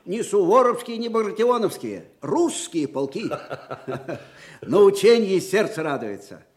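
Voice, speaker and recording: Актёр играет бойкого, простонародного, душевного и колючего старого русского полководца в советской радиопостановке. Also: male voice, high voice, big pitch swings, unhurried. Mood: jolly, excited. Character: spry, folksy old Russian field marshal, warm and peppery. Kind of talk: 1980s Soviet radio drama acting.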